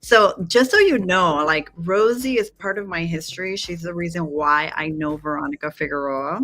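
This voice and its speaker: A woman's voice: lowish voice, moderate pace, low-quality recording. A woman in her forties from Guatemala, raised in Los Angeles, telling a story as a guest and animatedly acting out the dialogue. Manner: animated, acts out quoted dialogue. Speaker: marketing guest. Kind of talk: casual storytelling interview.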